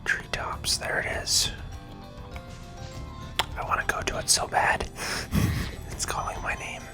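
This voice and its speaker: A game channel host giving ASMR commentary in a soft, breathy whisper, hushed and unhurried as they explain the play. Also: music in the background.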